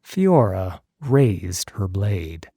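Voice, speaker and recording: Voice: low-pitched, big pitch swings, drawn-out words, warm tone, slightly rough, no room sound, clean studio sound. A narrator reading a scripted fantasy story in a solemn, theatrical storytelling manner.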